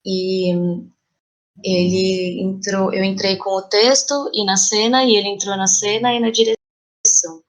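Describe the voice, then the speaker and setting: Brazilian woman, reflective and halting. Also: medium pitch, steady tone. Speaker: playwright and theatre artist, podcast guest. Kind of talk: reflective interview conversation.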